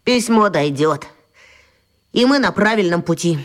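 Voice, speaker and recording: A woman playing a brisk, stubborn schoolboy hero in a radio play. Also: on the low side, animated. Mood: firm, stubborn.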